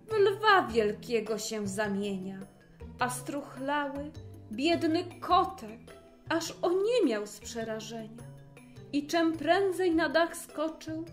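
A woman narrating a rhymed fairy tale in an expressive, theatrical storytelling manner. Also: on the high side.

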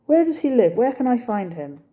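A woman's voice: fairly high pitch, a little fast, muffled recording, voice pushed up. A woman doing an eager old Englishman. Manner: excited, urgent. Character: elderly Englishman with a stick, eager, determined.

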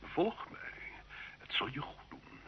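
A man's voice: slow pace, highs cut off, close to an everyday voice. An actor playing a menacing, obsessed medieval nobleman in an old radio drama, imperious and theatrically intense. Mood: dark, commanding. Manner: imperious, intense, swinging between hissing whisper and outburst.

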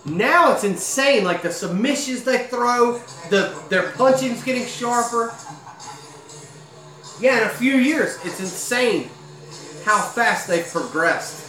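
Casting: thirties male streamer, rambling casual chat, boom mic, roomy home room with faint music. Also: lively intonation, slow pace, sharp tone. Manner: chatty, rambling, says "dude" a lot. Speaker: live-stream host. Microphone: boom mic in front of him.

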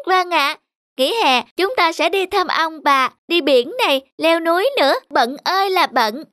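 A woman's voice: extremely high pitch, lively intonation, a little slow, bright, forceful tone, no room sound, clean studio sound, full character voice. A woman voicing a cheerful, eager little daughter in a children's cartoon dub, chirpy and playful.

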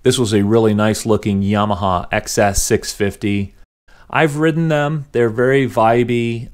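A middle-aged man narrating a voice-over tour of a motorcycle show in a relaxed, enthusiastic, conversational way. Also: fairly low pitch. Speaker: motorcycle channel host.

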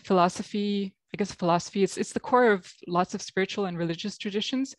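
A woman's voice: mid-range voice, quick pace, dry recording. A woman from Belgium with an American accent, a guest coach on a video call, talking reflectively with many ums. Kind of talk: interview over video call. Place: home room.

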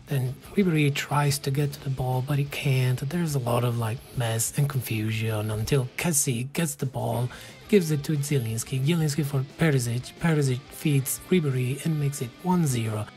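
Italian man calmly narrating game footage. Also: medium pitch, moderate pace, soft background music. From Italy. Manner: calm, matter-of-fact play-by-play. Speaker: gaming channel host. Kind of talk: game commentary voice-over.

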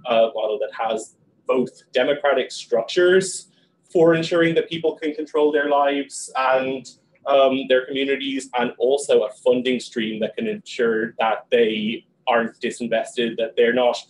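A young man speaking quickly on a panel, with many ums and likes, in a small meeting room and recorded by a camera on a video call. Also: medium pitch, steady tone, a little hiss or hum, poor audio. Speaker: panel guest. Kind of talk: panel discussion.

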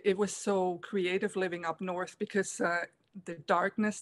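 A middle-aged woman from Germany, a guest on a casual video call, speaking softly and hesitantly with frequent pauses. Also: fairly low pitch. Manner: soft-spoken, hesitant, many ums, laughs often. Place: room at home, indoors. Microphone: laptop webcam video call.

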